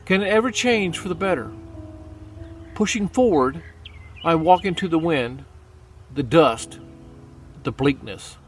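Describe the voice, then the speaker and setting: A man reading poetry aloud as a dramatic recitation, solemn and deliberate, with pauses for effect. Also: a little high, lively intonation. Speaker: poetry reader. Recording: music under the voice. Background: background music.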